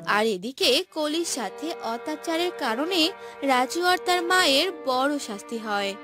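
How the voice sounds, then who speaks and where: A woman's voice: high voice, animated, a little slow. A woman narrating a scripted cartoon story. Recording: music behind the voice.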